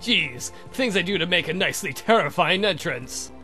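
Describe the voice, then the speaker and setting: A man voicing a sardonic, theatrical vampire count for a visual novel game, mocking and campy in a drawn-out way. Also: mid-range voice, expressive, sharp tone, faint music behind. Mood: gloating, mocking.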